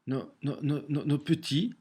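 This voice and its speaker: A man with a cold, talking alone to his camera at home in a halting way, with many repeated words and restarts. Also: a little high, warm tone, husky. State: has a cold. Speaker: vlogger sharing daily messages. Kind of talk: casual home video monologue. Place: small room at home, facing a window.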